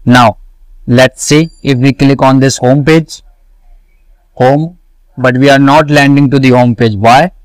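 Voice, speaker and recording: A man walking through a coding tutorial, slowly repeating each step and answering his own questions. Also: medium pitch, steady tone, no room sound, poor audio. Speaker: web development tutor. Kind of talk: screen-recorded coding tutorial.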